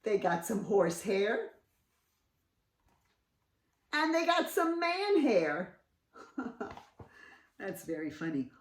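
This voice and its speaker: A woman reading a storybook aloud to children, expressive and sing-song, with questioning asides. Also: mid-range voice, drawn-out words, long pauses, recorded from a distance. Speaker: children's read-aloud host. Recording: roomy, set back from mic.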